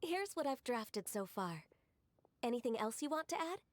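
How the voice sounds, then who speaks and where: A woman playing a diligent, gentle young secretary in an anime game, speaking politely and formally. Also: fairly high pitch, sing-song, moderate pace, no room sound.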